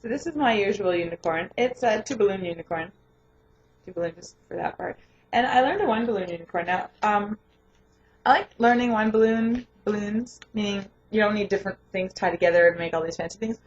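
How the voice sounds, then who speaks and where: A young woman with a lingering hoarse voice, chatting casually and rambling in a home vlog, laughing often. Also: medium pitch, fairly quick, dull audio. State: hoarse, voice not fully recovered. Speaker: vlogger and home daycare provider. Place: her home, indoors.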